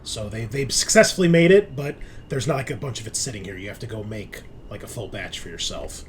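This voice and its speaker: A man running a tabletop horror game as game master, narrating in a halting way as he thinks aloud and checks his notes. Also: lowish voice, lively intonation, a little hiss or hum.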